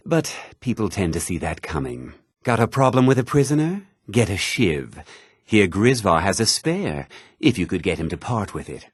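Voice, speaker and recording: Husky, haughty fantasy townsman. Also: on the low side, dry recording, studio quality. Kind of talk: scripted fantasy video game dialogue.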